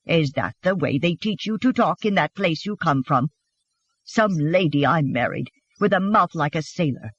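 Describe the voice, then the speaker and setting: An angry young Swedish immigrant husband from a storybook , acted out by an audiobook narrator. He is stubborn and bitter, with sarcastic, shouted lines that swing widely in pitch. The voice is a little husky. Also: expressive, moderate pace, dry recording, muffled recording. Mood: scornful. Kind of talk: dramatised audiobook dialogue.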